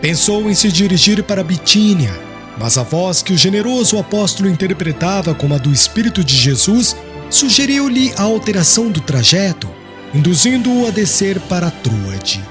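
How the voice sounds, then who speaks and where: High-pitched male narrator over music. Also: sing-song, moderate pace. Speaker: audiobook narrator. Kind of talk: scripted narration, literary and solemn.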